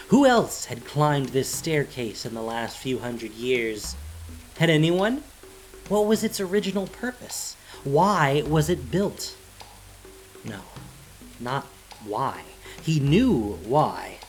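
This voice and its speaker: A man narrating a fantasy story as scripted audio drama, in a dramatic, deliberate storytelling manner. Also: mid-range voice, sing-song, poor audio. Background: music.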